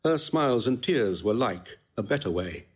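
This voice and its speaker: An actor playing a tender, eloquent courtly gentleman in a classical audio drama, speaking with earnest lyricism. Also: lowish voice, big pitch swings, moderate pace, breathy edge. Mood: tender admiration. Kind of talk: classical stage-style audio drama acting.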